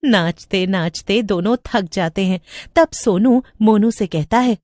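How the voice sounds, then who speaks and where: A woman's voice: mid-range voice, measured pace, a little mellow, no echo, clean studio sound. A woman narrating a scripted story as a storyteller.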